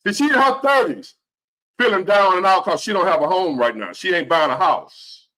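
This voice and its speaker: Rich: A man in his fifties with a high, bright voice gives a motivational talk straight to his viewers. His pitch leaps up and plunges down as he drives points home, repeating key phrases and dropping to a hush before rising again. The delivery is earnest and preachy. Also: expressive, normal speed. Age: 50s. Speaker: motivational vlogger.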